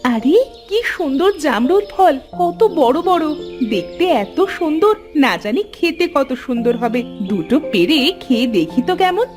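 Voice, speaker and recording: Lady doing a really high voiced village wife, plaintive n emotive, cartoon story w music. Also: sing-song, a little slow. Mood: delighted surprise. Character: grieving poor village wife longing for her daughter. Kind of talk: Bengali cartoon fairy tale acting.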